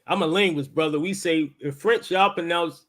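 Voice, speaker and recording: A man from Baltimore with a clearly high-pitched voice and the local accent. He hosts in a loose, colloquial style, explaining and pressing his point as he goes. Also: moderate pace, a bit bright. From Baltimore, United States. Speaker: host, linguistics teacher. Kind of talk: casual live talk with debate.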